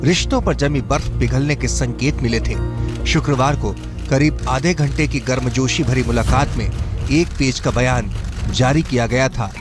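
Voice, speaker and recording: A man's voice: medium pitch, moderate pace. A man with an Indian accent reading a scripted news report as a voice-over, in a dramatic, rhetorical manner. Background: music bed.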